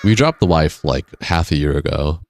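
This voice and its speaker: A young man with a deep voice, casually streaming a game and chatting with viewers in a laid-back, rambling way. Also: expressive, normal speed, heavy background noise. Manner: laid-back, rambling, reads and answers chat. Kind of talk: casual live-stream chat while gaming. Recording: game music playing behind.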